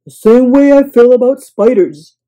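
A woman's voice: a little high, moderate pace, dark tone, dull audio, lightly acted. A woman voicing a grumpy, sleepy, demanding man staying at a hotel, grumbling and fuming in a storybook reading. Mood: alarmed, exclaiming.

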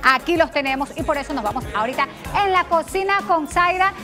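A woman's voice: high voice, animated, brisk. A woman in her thirties with an Ecuadorian accent, hosting a cooking segment in a TV studio kitchen. She chats and teases her guest. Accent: Ecuadorian Spanish. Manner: chatty and teasing, exclaims. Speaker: fitness cooking host. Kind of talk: lively TV cooking chat. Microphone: lapel. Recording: TV studio with music bed.

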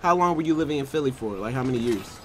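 A man's voice: mid-range voice, animated, racing, a little hiss or hum. A young man streaming a game from his bedroom, chatting playfully and asking teasing questions. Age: young adult. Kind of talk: casual gaming chat. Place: small bedroom, indoors.

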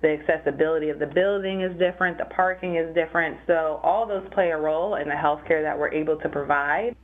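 A young adult woman, a physical therapist, answering interview questions in a measured, explanatory way. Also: deep voice. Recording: phone-like narrow sound.

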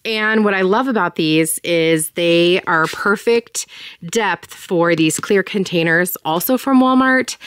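A woman's voice: on the low side, a bit bright, smooth, dry recording, studio quality. A woman in her thirties chatting casually through a tour of her basement storage, with lots of ums and likes and bursts of enthusiasm. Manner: chatty, filler-heavy, enthusiastic. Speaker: home organizing vlogger. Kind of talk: casual walkthrough chat. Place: home basement storage room.

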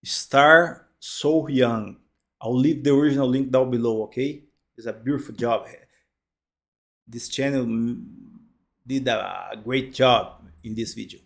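A man from Brazil, a native Portuguese speaker with a Brazilian accent, hosting a music reaction in a halting, word-repeating way on a headset mic at home. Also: mid-range voice, creaky. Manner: halting, repeats words, exclaims in delight. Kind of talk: casual live reaction commentary. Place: home studio, indoors, gaming chair.

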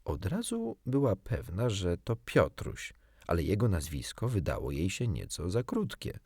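Male audiobook narrator, low pitch, hugely varied intonation, expressive children's storytelling. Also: a little slow, husky, no room sound, studio quality.

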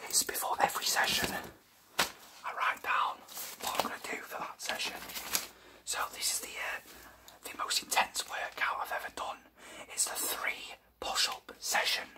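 A young man playing a boastful, self-assured champion boxer in a breathy, repetitive whisper for an ASMR roleplay. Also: distant mic, close to an everyday voice. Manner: bragging, repetitive.

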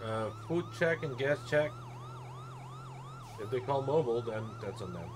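A man's voice: medium pitch, unhurried, background noise. A man chatting casually and crudely while role-playing a paramedic in an online game. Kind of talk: casual in-game roleplay chat.

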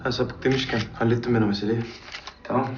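A man's voice: medium pitch, fairly even intonation, fast talker, lo-fi sound, very noisy, lightly acted. An actor playing a stubborn, defensive young man in a TV drama, curt, colloquial and dismissive. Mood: matter-of-fact. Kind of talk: naturalistic TV drama acting.

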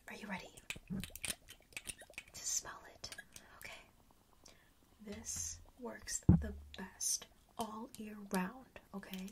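A woman whispering breathily in a chatty, playful ASMR roleplay as a boastful fragrance seller, often repeating phrases for emphasis. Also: mid-range voice. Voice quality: breathy whisper, mostly unvoiced. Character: friendly, boastful enchanted-forest perfume seller.

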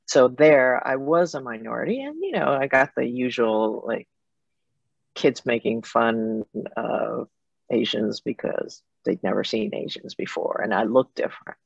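Creaky-voiced middle-aged woman on video call. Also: extremely low pitch, expressive, measured pace, no echo. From Japan, with years in Germany and Italy. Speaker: interview guest, foundation president. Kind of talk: conversational interview answers, personal storytelling. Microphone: computer mic on a video call. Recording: dull video-call audio.

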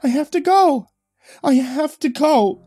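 A man acts out a distraught wife in a horror story, obsessive and desperate. The voice is raised high and swings widely, strained and frantic, hostile one moment and pleading the next. It is recorded dry. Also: sing-song, normal speed, warm tone, husky, no room sound, faint music behind. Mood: desperate sobbing. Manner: frantic, strained, emphatic repetition. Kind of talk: dramatic horror story character voice.

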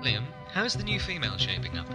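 An actor playing an officious, impersonal bureaucrat in a sci-fi audio drama, formal and curt. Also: male voice, mid-range voice, huge pitch swings, fast talker. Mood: stern. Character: cold, officious male bureaucrat in authority. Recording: music behind the voice.

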